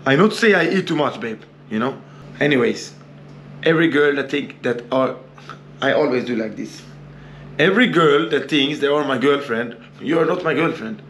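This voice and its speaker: A young man with a Brazilian accent talks straight into a camera he holds at arm's length in a small hotel room. He speaks loose, boastful, broken English, repeating his words at an ordinary pitch. Faint noise sits underneath. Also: lively intonation, moderate pace, a bit bright. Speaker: travel vlogger. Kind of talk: casual vlog talk to camera. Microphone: camera held at arm's length.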